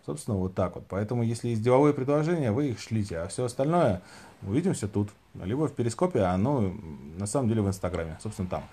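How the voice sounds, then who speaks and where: A man's voice: fairly low pitch, expressive, quick pace, warm tone. A man in his thirties hosting a casual livestream, reading out viewers' questions and answering them into a phone held at arm's length. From Saint Petersburg, Russia. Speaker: livestream host. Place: small room indoors, lying back on pillows.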